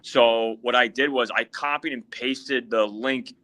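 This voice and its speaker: A man joining a live stream on a video call, explaining things quickly and casually. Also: medium pitch, steady tone, pushed and bright. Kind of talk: casual chat.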